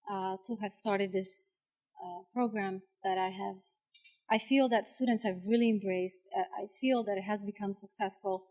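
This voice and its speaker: A woman's voice: medium pitch, a little mellow. Earnest woman, Italian first language. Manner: earnest, persuasive, with hesitations. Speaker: Italian teacher speaking at a school board meeting. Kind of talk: public comment speech.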